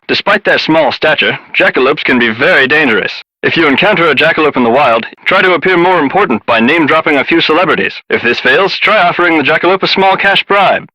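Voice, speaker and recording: Deadpan, bright-voiced wildlife safety announcer. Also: male voice, on the high side, fast talker, hardly any pauses, no echo, rough recording. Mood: solemn, deadpan. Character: earnest male announcer of absurd wildlife safety tips. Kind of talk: mock-serious scripted narration.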